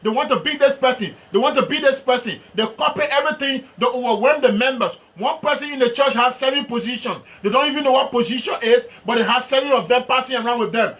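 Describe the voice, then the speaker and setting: Liberian male preacher, very high bright voice, slow emphatic sermon delivery.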